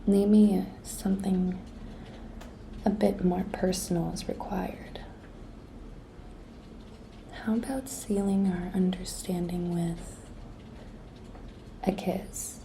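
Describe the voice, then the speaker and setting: Slow, whispery, flirty office intern played by a woman. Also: lowish voice, steady tone, mellow, slight background noise. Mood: flirtatious, suggestive. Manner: breathy, coy, slow and deliberate, often dropping to a whisper. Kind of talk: whispered ASMR roleplay.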